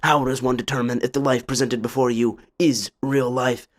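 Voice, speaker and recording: A man's voice: medium pitch, little pitch movement, moderate pace. A man narrating a scripted tale for a puppet show in a theatrical, solemn, literary manner.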